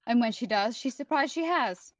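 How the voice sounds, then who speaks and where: A woman's voice: a little high, lively intonation, normal speed, dry recording, lightly acted. A woman playing a controlling, snobbish mother in an audio drama, haughty and sarcastic with a clipped manner. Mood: haughty, reproachful.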